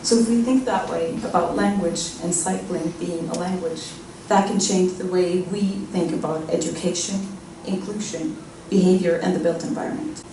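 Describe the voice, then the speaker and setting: A woman with a Norwegian accent delivers a short prepared talk at a measured pace. Her voice is dark and mellow. It sounds far from the mic, in a big echoing hall. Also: fairly low pitch, slight background noise. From Norway. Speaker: presenter. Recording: echoey hall, mic at a distance.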